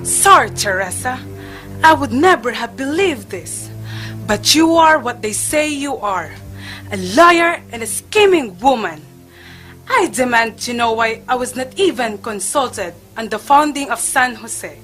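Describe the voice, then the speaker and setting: A woman's voice: a little high, animated, unhurried, a bit bright, faint music behind. A woman acting a blunt, outspoken Spanish woman in an amateur religious stage play, with emphatic, declamatory delivery. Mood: indignant, accusing.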